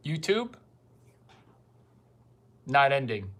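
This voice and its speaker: A man's voice: medium pitch, very animated, extremely slow, a bit bright. A man giving a rambling, crude casual monologue full of profane asides.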